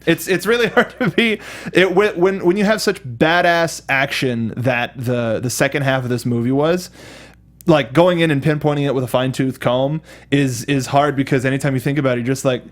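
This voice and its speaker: A man co-hosting a review show in casual, animated chat, often acting out imagined lines and reactions as he talks. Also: medium pitch, moderate pace. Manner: acts out imagined lines and reactions while talking. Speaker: co-host of a film review show.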